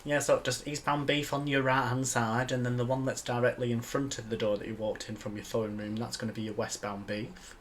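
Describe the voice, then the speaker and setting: A man's voice: medium pitch, flat delivery, a little fast, few pauses, slight background noise. A man with a Yorkshire accent co-hosting a building tutorial, talking in a rambling, casual way and laughing easily. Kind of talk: casual chat.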